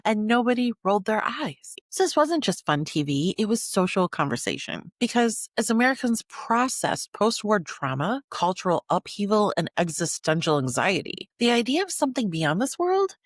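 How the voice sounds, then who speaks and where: A woman hosting a podcast, reading scripted documentary narration with measured, dramatic phrasing and pauses for emphasis. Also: mid-range voice, very animated, no room sound, clean studio sound. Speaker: podcast host.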